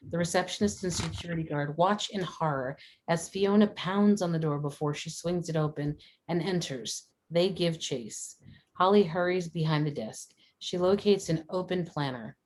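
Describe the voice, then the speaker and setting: A woman's voice: low-pitched, darkish tone, slight background noise, poor audio. A woman narrating scripted stage directions for a screenplay at a table read.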